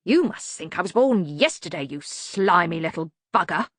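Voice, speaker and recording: A woman voicing an angry older locksmith and criminal in an audiobook, blustering and scornful, with rough colloquial grammar. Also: lowish voice, expressive, moderate pace, no room sound, studio quality. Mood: scornful fury. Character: angry older male locksmith, cocky working-class criminal. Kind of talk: audiobook character voice.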